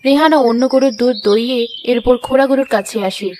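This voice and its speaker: Fast-talking woman story narrator. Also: high-pitched, noisy background. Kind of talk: scripted cartoon story narration.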